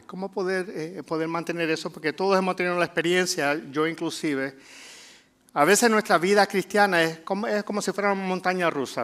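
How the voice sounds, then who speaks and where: Puerto Rican pastor, slightly high voice. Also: male voice, a bit bright. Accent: Puerto Rican Spanish. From Puerto Rico. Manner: conversational, many filler "eh" pauses. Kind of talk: sermon.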